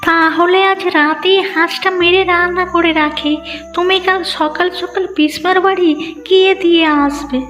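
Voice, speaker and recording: A woman playing a brisk, practical village housewife in a cartoon, expressive. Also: very high voice. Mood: helpful. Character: brisk, scolding but helpful village housewife. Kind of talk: cartoon moral-story dialogue. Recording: dull reverberant track, music behind.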